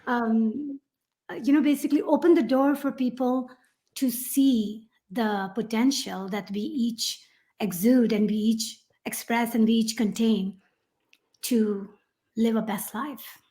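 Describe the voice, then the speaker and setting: A middle-aged woman with a Pakistani accent, a guest on a podcast, telling her story in a rambling, animated way with many fillers. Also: a little high, unhurried. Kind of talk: podcast interview.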